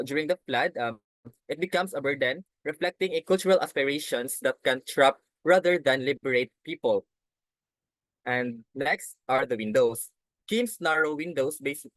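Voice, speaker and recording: A young man giving a class presentation, halting and restarting phrases as he explains his slides. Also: a little high, a bit bright, dry recording, poor audio. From Philippines. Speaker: student presenter.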